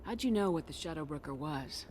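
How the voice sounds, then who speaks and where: A woman playing a steady human military commander in a video game, speaking directly and with assurance. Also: deep voice, brisk, a little hiss or hum. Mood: direct, probing.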